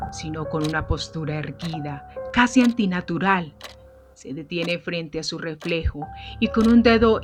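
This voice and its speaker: Low-voiced woman narrating gothic fiction. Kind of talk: scripted gothic story narration.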